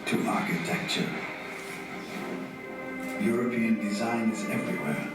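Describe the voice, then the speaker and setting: A man reading a scripted promotional film voice-over in measured, deliberate phrases with dramatic pauses. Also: lowish voice, level tone, far from the mic. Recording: film played in echoey room, loud music. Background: loud music.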